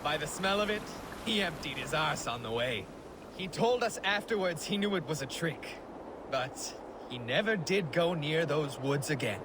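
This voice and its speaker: A wry Viking raider played by a male actor spins his tale in a game. His voice sits on the higher side and moves freely in pitch, with heavy water and boat noise all around him. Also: expressive, normal speed, lightly acted. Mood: wry, amused. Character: male Viking crewman, wry storyteller with a regretful streak. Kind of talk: scripted in-game storytelling. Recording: loud sea and boat noise.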